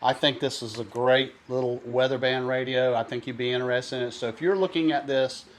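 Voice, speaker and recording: A man's voice: mid-range voice, fairly even intonation, a little fast, background noise. A man giving a casual, folksy and enthusiastic product review to camera.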